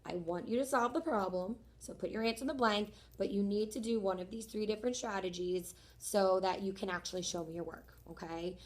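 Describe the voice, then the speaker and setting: A young woman, a third-grade teacher, whose voice is going hoarse, explaining homework strategies step by step in a friendly, encouraging way. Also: mid-range voice, brisk, few pauses. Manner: friendly, encouraging, talks through each step. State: losing her voice, hoarse. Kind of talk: homework explanation video for students.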